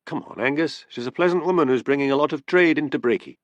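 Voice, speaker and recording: A man voicing a proud, easily affronted policeman with a Scottish Highland accent, stiff and emphatic, in an audiobook story. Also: quick pace, no room sound, highs cut off, natural voice. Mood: brisk.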